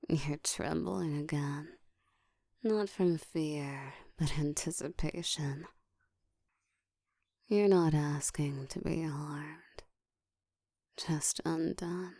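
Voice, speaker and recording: Woman acting as an ancient vampire lady, super slow low breathy whisper, bossy and seductive, close asmr. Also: dark tone, no room sound, heavily acted. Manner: slow, deliberate, teasing, commanding. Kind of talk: intimate ASMR roleplay monologue. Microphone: close microphone.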